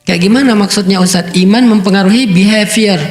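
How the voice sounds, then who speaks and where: A man's voice: sits high, a little fast. A man giving a conversational Islamic sermon, explaining with rhetorical questions and Arabic recitation. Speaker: preacher. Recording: echoey room with faint music.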